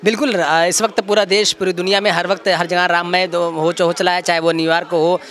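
Man rattling off big words, tripping over them, high bright voice. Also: little pitch movement. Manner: rapid flowery phrasing with stumbles. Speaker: newsroom colleague interviewed.

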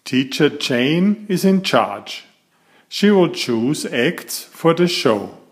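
A man reading a picture book aloud to young children in an expressive, deliberate storytelling manner. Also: a little high. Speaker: picture-book reader and author of children's stories.

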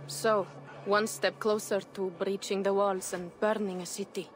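A blunt Viking jarl. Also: male voice, high voice, flat delivery, unhurried, a little hiss or hum. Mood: wry. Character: blunt Viking jarl, hearty, eager to raid.

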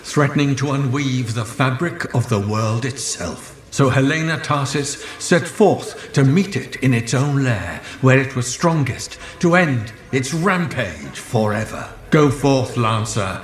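An actor playing a solemn ancient lore-keeper in a game, speaking in grave, archaic, declamatory narration. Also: male voice, medium pitch, lively intonation, a little slow, hardly any pauses, lightly acted. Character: solemn male ancient lancer lore-keeper presiding over trials. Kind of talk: scripted ceremonial game narration. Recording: echoey voice over game music.

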